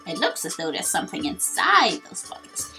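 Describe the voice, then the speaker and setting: A woman's voice: lowish voice, wildly swooping pitch, moderate pace, creaky, voice pushed down, heavily acted. A woman voicing a friendly crab creature asking a favour in a video game. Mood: friendly, informative. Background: game music.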